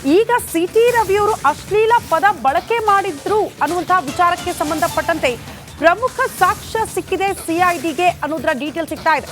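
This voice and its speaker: A woman anchors a television news bulletin in a very high voice. She leans on key phrases for dramatic emphasis. Faint music plays behind her. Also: moderate pace. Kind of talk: television news presenting, handing over to a reporter.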